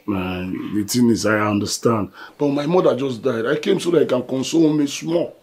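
An actor playing an aggrieved young adult son with a Nigerian accent, scolding insistently in a domestic comedy-drama. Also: male voice, medium pitch, moderate pace, darkish tone, natural voice. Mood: subdued. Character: aggrieved, confrontational young adult son challenging his father.

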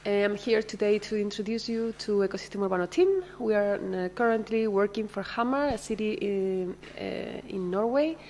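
A woman with a Spanish accent introducing her team to camera, speaking into a handheld microphone, friendly and unhurried. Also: mid-range voice, darkish tone, slight background noise.

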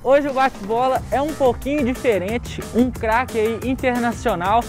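A man's voice: very high-pitched, moderate pace, over music. A man hosting a sports interview on an outdoor sand court, asking direct questions in a friendly, joking way. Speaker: TV sports reporter, host. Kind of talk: casual interview.

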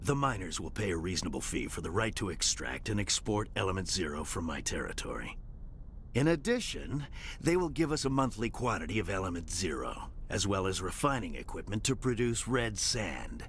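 A self-important crime boss for a video game. He is haughty and theatrical, with a bright, forceful tone that swings widely in pitch. A faint low rumble sits behind him. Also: sing-song, brisk, exaggerated voice. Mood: haughty, smug. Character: pompous, hot-tempered male crime warlord calling himself a lord. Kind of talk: dramatic video game voice acting.

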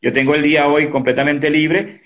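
A man in his early fifties hosting a casual interview on a video call, speaking haltingly and often repeating words. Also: on the high side, little pitch movement, a bit bright. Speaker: interview host. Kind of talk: casual conversational online interview. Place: indoor room at home. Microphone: video call, device close in front.

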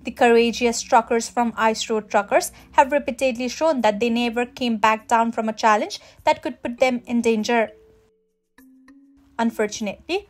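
A woman narrating a scripted entertainment news voice-over in an even, read-aloud manner. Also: a little high. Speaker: channel narrator.

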